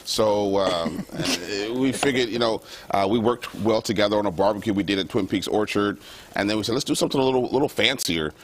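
A man's voice: on the low side, brisk, a little hiss or hum. A man, a chef, promoting a benefit dinner on a live TV cooking segment, easygoing and enthusiastic. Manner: easygoing, enthusiastic, laughs and cheers on. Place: TV studio kitchen set. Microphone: lapel mic.

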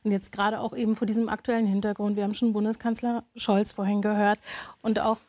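A woman's voice: medium pitch, little pitch movement, fast, darkish tone. A woman in her thirties hosting a TV talk show in a studio, interviewing in a conversational way. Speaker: TV host, interviewer. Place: TV studio, indoors. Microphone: lapel mic.

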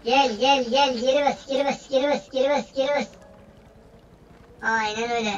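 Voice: sits high, fairly even intonation, moderate pace, far from the mic, lo-fi sound, slight background noise. A gaming host giving casual, playful commentary in slangy banter, often repeating phrases over and over. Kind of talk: casual gameplay commentary, playful role-play.

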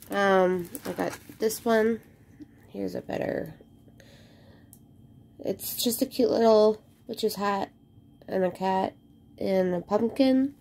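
Slow-talking woman with a head cold. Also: medium pitch, gravelly. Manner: relaxed, rambling with many ums. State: slightly congested from a cold. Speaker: crafting vlogger. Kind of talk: casual unboxing chat. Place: indoor craft room at home.

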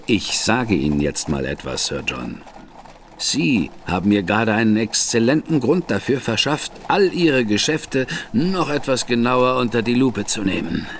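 An actor playing a composed gentleman investigator with a dry, sardonic manner in a radio drama. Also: mid-range voice, slight background noise.

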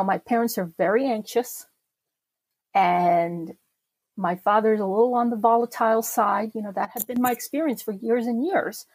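A woman's voice: a little high, darkish tone, husky, no room sound, low-quality recording. A middle-aged woman from Czechoslovakia, a podcast guest recalling her childhood in a reflective way, with many fillers and restarts. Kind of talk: personal memoir interview.

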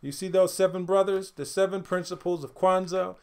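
A middle-aged man reading a picture book aloud in an animated, dramatic storytelling style, pausing to speak to listeners. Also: sits high. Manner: expressive, dramatic swells and hushed asides to listeners. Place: indoor home studio room.

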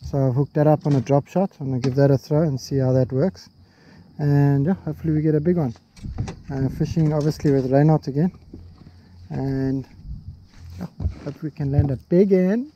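A man's voice: a little high, normal speed, long pauses, lo-fi sound, a little hiss or hum. A South African man in his thirties, chatting casually as he films a fishing trip from a boat on a lake. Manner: relaxed, chatty, laughs easily. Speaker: fishing vlogger. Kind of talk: casual fishing vlog chat. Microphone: action camera on the boat.

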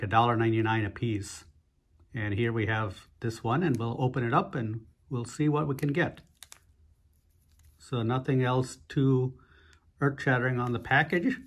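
Male vlog host, slightly husky, easygoing and unhurried, narrating a pack opening. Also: medium pitch. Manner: relaxed, unhurried, frequent "uh" fillers. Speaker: host of a card-opening channel. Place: indoors at a table, hands only on camera.

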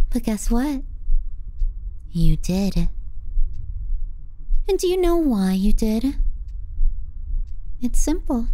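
Casting: female performer for manipulative younger sister, young adult, possessive and mocking; very dark, velvety, breathy murmur for ASMR roleplay. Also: mid-range voice. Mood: bitter, taunting. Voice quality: soft, breathy. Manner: slow, breathy murmuring that slips into whispers. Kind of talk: intimate ASMR roleplay acting.

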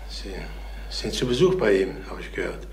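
An actor playing a jittery, evasive middle-aged salesman and father in a radio crime play, speaking haltingly with repetitions. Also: fairly low pitch, expressive, echoey room, a little hiss or hum. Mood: nervous, apologetic. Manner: halting, repeats himself. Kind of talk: German radio crime drama acting.

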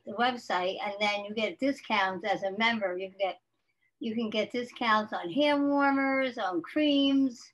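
A woman with a hoarse voice from laryngitis wraps up her presentation. She speaks in a friendly, informative way over a video call. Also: fairly high pitch, a bit bright, muffled recording. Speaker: guest speaker. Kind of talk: webinar presentation.

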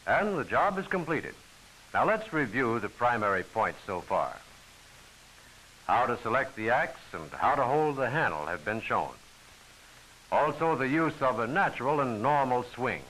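Man, scripted training-film narrator, formal instructional phrasing, forceful bright tone, animated intonation, faint hiss of an old soundtrack. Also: mid-range voice, measured pace, rough recording.

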